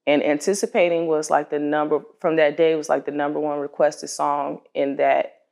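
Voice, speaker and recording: A woman's voice: sits low, level tone, normal speed, gravelly, darkish tone. A woman singer-songwriter talking casually in an interview, telling a story in an informal, conversational way and acting out what people said.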